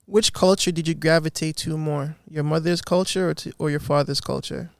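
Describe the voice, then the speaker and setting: An interviewer asking measured, plain questions. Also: mid-range voice, steady tone, creaky, no room sound, studio quality.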